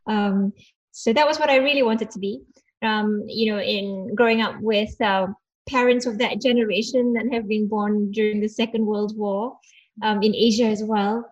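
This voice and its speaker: A woman's voice: medium pitch, fairly even intonation, moderate pace, dull audio. Woman from Malaysia, hesitant conversational delivery. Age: 40s. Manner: hesitant, often repeating words mid-thought. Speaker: podcast guest, doctor and cancer researcher. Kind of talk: reflective conversational interview.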